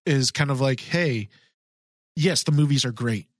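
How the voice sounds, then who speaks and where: A man's voice: mid-range voice, unhurried, no echo, studio quality. A man co-hosting a fan podcast, chatting casually in an earnest, agreeable way. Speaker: podcast co-host.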